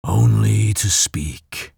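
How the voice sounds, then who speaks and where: A man voicing a menacing, mocking demon lord in an audiobook, with a raspy voice and a slow, deliberate delivery. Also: lowish voice, a little mellow, no room sound, clean studio sound, pitched above the performer's own voice, exaggerated voice. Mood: calm menace. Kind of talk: audiobook character voice.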